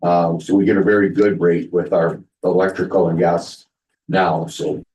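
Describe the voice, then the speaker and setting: A man chairing a town council meeting, brisk and matter-of-fact. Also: sits low, level tone, a little mellow, far from the mic, a little hiss or hum, rough recording.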